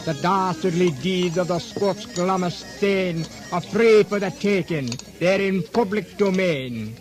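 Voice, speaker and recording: Over clear music, a high-voiced storyteller, proclaims a mock-heroic tale. He narrates like a grand bard in a radio play. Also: a little slow. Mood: grand, proclaiming.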